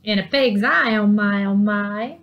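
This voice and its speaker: A woman voicing a feisty, stubborn old granny in a storybook, with folksy, emphatic drawn-out exclamations. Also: medium pitch, dull audio, close to an everyday voice. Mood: scornful.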